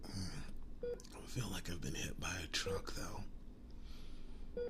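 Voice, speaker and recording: A man playing a wounded, devoted young werewolf boyfriend in an intimate ASMR roleplay, speaking in a breathy whisper, halting and earnest. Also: low voice, lively intonation, exaggerated voice. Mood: pained, reassuring. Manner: halting, earnest, soft-spoken with long pauses.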